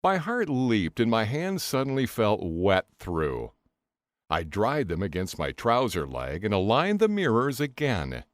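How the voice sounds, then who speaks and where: Low-voiced man, wildly expressive narrator. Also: unhurried, no echo, studio quality. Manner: theatrical, expressive delivery. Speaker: audiobook narrator. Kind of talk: dramatic first-person audiobook narration of a comic novel.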